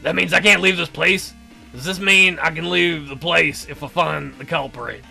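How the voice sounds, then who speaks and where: A surly young wolf-boy guard from a visual novel, put on by a woman reader, pitched down and pushed out forcefully. He is gruff and rude, sounding fed up and irritable, with faint music underneath. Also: normal speed, full character voice. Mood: defiant, rude. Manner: gruff, irritable, foul-mouthed.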